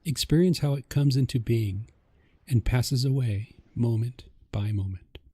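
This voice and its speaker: A man narrating a guided meditation in a gentle, calming, measured way, with a soft voice. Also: medium pitch, breathy edge, dry recording.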